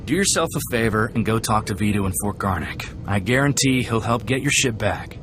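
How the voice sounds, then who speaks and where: An actor playing a folksy, earnest, hot-headed young gunslinger in a video game. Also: male voice, on the low side, expressive, brisk, lightly acted. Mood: frustrated, earnest.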